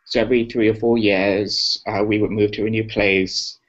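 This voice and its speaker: Upbeat, chatty young male guest with a lowish voice; lo-fi web-call sound. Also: little pitch movement, normal speed. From Singapore. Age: young adult. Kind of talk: casual interview chat. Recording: muffled lo-fi call audio.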